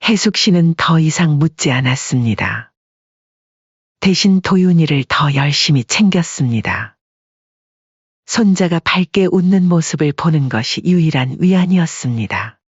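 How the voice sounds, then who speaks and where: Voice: mid-range voice, animated, unhurried, husky, no room sound, lo-fi sound. A narrator reading a scripted family story in a storytelling style.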